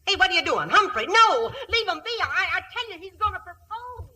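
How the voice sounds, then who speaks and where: A woman's voice: very high voice, big pitch swings, fairly quick, sharp tone, full character voice. An actress playing a brash, folksy, scheming mother, blunt and slangy, in old-time radio comedy style. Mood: excited, scheming. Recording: old radio recording, faint noise.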